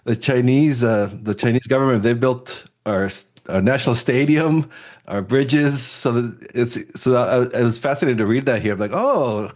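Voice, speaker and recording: Halting, enthusiastic male podcast host. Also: mid-range voice. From Costa Rica. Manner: enthusiastic, halting, repeats words. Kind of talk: casual interview chat.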